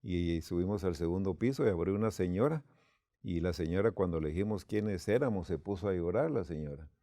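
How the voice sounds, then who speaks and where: A man's voice: deep voice, expressive, brisk, dark tone, no room sound. A man from Guatemala, telling a personal story unhurriedly with quoted dialogue, as a church leader.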